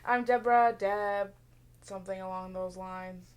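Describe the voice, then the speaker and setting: A woman's voice: medium pitch, steady tone, a little slow. A young woman co-hosting a podcast in casual chat, mumbling a bit and laughing often. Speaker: podcast co-host.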